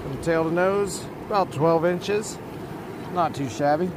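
A young man in his twenties giving upbeat, casual commentary to his viewers while fishing, often talking to the fish and chuckling. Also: a little high. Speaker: fishing vlogger. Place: outdoors by a rushing stream. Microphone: action camera, chest or handheld. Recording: outdoor camera mic with loud stream noise. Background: rushing water.

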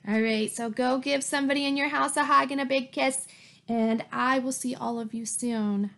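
A woman's voice: high voice, steady tone, normal speed, vocal fry, fairly bright tone. A woman in her thirties reading a picture book aloud to young children, encouraging them with questions and prompts. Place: small plain room indoors at home. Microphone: webcam at arm's length.

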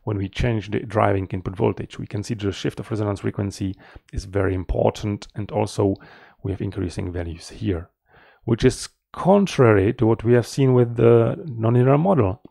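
A middle-aged man giving an explanatory technical presentation as a researcher, in a measured, slightly halting manner, close to the mic. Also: on the low side, vocal fry, mellow, dry recording. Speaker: researcher presenting a conference paper.

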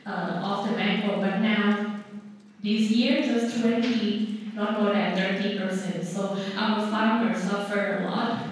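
Young thai woman talking slow on a panel, friendly, lots of uh's, big echoey hall, far off mic. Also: mid-range voice, steady tone, a little hiss or hum. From Thailand. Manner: friendly, chatty, hesitant with many fillers. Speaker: panelist, hydrologist. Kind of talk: staged panel chat. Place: large conference hall on stage. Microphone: handheld mic.

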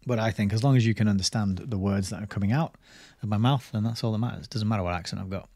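A rambling English man in his forties, chatting loosely with his livestream audience and laughing between thoughts. His voice sits fairly low and comes through a boom mic. The sound is close and dry, with no room around it. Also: rapid-fire, darkish tone, no room sound. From England. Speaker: livestream host reading a book. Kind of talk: casual livestream chat with viewers. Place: home study lined with bookshelves. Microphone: studio mic on boom arm.

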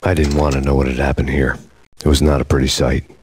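A hardboiled, weary male cop broods through noir game narration. The voice sits extremely low and stays deadpan, over faint noise. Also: fast. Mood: brooding, deadpan.

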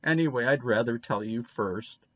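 A man's voice: mid-range voice, moderate pace, dry recording, lightly acted. A man voicing a guilty, blurting little boy, dull recording. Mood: miserable, pleading. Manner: childish, ungrammatical country speech. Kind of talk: storybook character reading.

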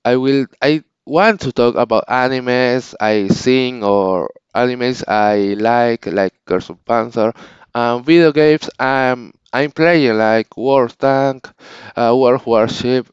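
Slow, halting man with a Spanish accent. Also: mid-range voice, smooth, dry recording, dull audio. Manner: halting, searching for English words, frequent restarts. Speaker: channel owner. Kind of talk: casual unscripted vlog talk.

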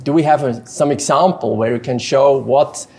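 Interviewer man, slightly husky, mid-pitched, asks measured questions in a showroom. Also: a little mellow. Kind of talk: corporate video interview. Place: company showroom.

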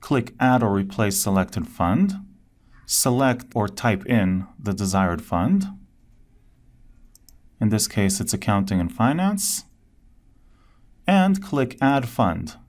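Male software tutorial narrator. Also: on the low side, lively intonation, slow, long pauses. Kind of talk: scripted narration, step-by-step software demonstration.

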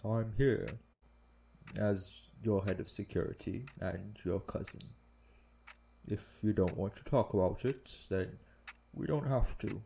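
A man playing a calm, protective orc head of security, speaking in a measured, reassuring way in an audio roleplay. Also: lowish voice, gravelly, a little hiss or hum. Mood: concerned, reassuring. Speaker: voice actor. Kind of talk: intimate audio roleplay acting.

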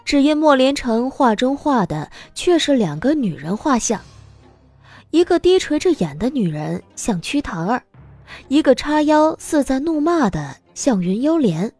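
Female audiobook narrator, high and animated, expressive romance storytelling, close dry sound. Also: unhurried, dry recording, soft background music.

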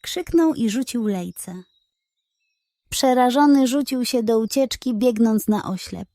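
A woman with a fairly high voice narrates a children's bedtime tale. Her delivery is slow, measured and expressive, with a dry, close sound. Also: no echo. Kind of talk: scripted bedtime storytelling.

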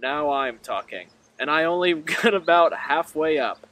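A young man as a podcast guest, joking dryly with a low-key delivery. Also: lively intonation, a little slow, no echo. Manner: dry, joking, self-described quiet and deep. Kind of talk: casual chat.

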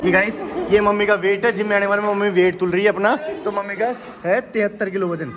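A man's voice: sits high, steady tone, brisk, highs cut off, noisy background. A man narrating a family vlog, teasing and coaching relatives on gym machines. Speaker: vlogger filming his family. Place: large indoor gym. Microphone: handheld phone camera. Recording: roomy gym with crowd chatter.

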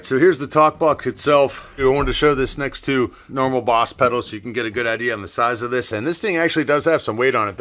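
A man's voice: mid-range voice, fairly bright tone. A man giving a casual, plain-spoken product walkthrough as a reviewer, offhand in manner, recorded on a handheld camera in a home basement music room.

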